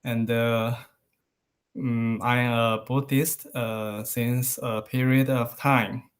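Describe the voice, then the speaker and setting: A man's voice: mid-range voice, flat delivery, slow pace, clean tone. A man from Taiwan giving a hesitant self-introduction in English at a meditation meeting, with many fillers and restarts. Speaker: meeting participant.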